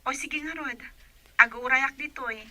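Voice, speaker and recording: A woman's voice: high voice, normal speed, pushed and bright. A woman acting in a radio drama as a casual young friend with small complaints. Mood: mildly complaining.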